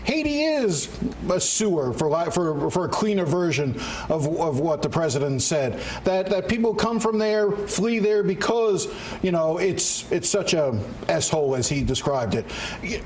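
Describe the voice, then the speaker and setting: A man's voice: high voice, sing-song, unhurried, a little mellow, slight background noise. A man hosting a TV studio interview, asking direct, probing questions. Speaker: TV host, interviewer.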